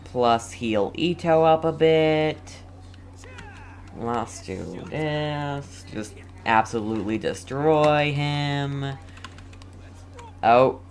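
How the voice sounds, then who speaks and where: A young man giving casual live game commentary as a streamer, halting and often repeating his phrases. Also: mid-range voice, slight background noise. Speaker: gaming streamer.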